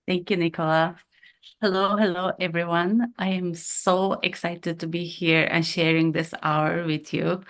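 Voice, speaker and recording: A woman with a fairly low voice presents a webinar session. She talks with many fillers and restarts. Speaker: program lead, co-host.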